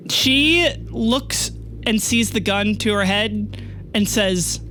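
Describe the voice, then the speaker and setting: A game master improvising narration for a tabletop audio drama, informal and with dramatic pauses. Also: medium pitch, sharp tone, a little hiss or hum, low-quality recording. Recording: music bed under the voice.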